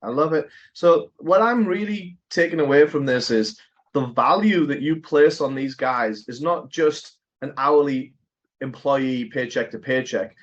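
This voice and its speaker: A man hosts a business interview, talking in a lively, conversational way and thinking out loud as he goes. His voice sits at a middling pitch, and the audio sounds a little dull and lo-fi. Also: unhurried. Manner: animated, conversational, thinks aloud. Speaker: podcast host. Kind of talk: casual interview chat.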